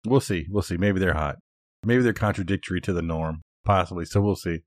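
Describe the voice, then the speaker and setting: A man co-hosting a podcast in relaxed, casual conversation. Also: low voice, fairly quick, no echo. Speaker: podcast co-host.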